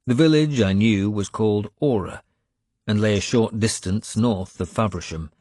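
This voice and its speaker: A man's voice: fairly low pitch, moderate pace, a little mellow, no room sound, studio quality. A man reading a historical adventure audiobook as a dramatic first-person narrator.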